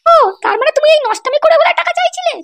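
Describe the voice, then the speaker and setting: A woman voicing a shrill, nagging, suspicious wife in a comedy cartoon. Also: animated, rapid-fire, noisy background. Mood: suspicious, scolding.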